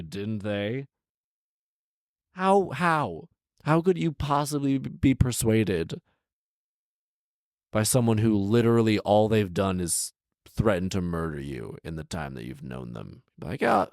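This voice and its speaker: Low-voiced man, sarcastic storytelling host. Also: darkish tone, dry recording, clean studio sound. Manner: informal, sarcastic asides. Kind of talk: story reading with comedic ad-libbed commentary.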